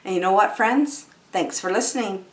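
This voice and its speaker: A woman reading aloud to children as a story time host, expressive and drawing out her words. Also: mid-range voice, moderate pace. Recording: roomy, echoey room sound.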